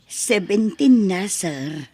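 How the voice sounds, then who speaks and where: A woman playing a husky, plaintive mother. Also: medium pitch, lively intonation, extremely slow, warm tone, exaggerated voice. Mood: deferential. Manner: plaintive, deferential. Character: poor, apologetic, loving mother pleading for her daughter. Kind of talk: Ilocano radio-style drama acting.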